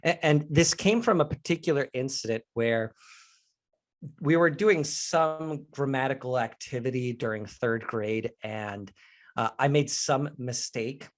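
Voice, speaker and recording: A man's voice: mid-range voice, unhurried. Earnest man from Mexico, leading a workshop. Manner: earnest, candid, addresses the audience directly. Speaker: leadership trainer and professional speaker. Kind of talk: live online workshop presentation.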